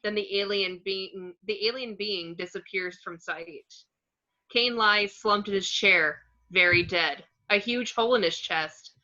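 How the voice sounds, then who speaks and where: A woman's voice: medium pitch, a little slow, sharp tone. A woman narrating screenplay action descriptions in an amateur table read, on muffled lo-fi audio.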